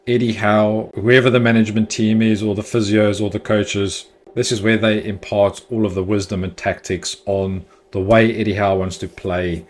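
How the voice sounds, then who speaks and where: A man hosting a football fan channel, giving chatty, opinionated news commentary with the odd filler. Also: on the low side.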